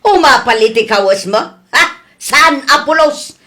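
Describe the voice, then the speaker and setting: An actress as a fault-finding middle-aged aunt in a radio comedy drama. Her voice sits fairly high, and she scolds and argues with a sharp tongue. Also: animated, unhurried. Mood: indignant, complaining. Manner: scolding, argumentative.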